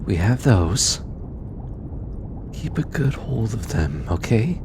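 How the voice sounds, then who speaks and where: Man voicing a hesitant, slow-talking shy alien scientist. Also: sing-song, exaggerated voice. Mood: gentle, guiding. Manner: hesitant, stammering, soft-spoken. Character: shy, gentle alien scientist, flustered and caring. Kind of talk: intimate audio roleplay addressed to the listener. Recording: clear low background rumble noise.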